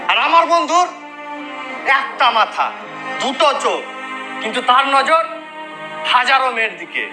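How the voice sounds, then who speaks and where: A man performs a theatrical, mock-moralising storyteller. The voice is extremely high and animated, with echo and music around the monologue. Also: moderate pace. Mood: mock solemn. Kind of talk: dramatic comic monologue. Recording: echoey voice over music.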